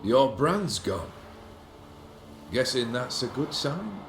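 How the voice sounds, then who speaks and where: A man's voice: mid-range voice, sing-song, takes it slowly, echoey room, noisy background. A man playing a proud, melancholy, world-weary nobleman in a video game, with an eloquent, formal and brooding delivery. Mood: wry, weary.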